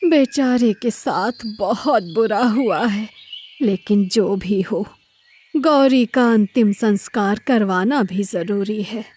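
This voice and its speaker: A woman voicing an emotional, anxious village aunt in a horror cartoon story, with drawn-out dramatic delivery. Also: mid-range voice, a little mellow. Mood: sorrowful concern.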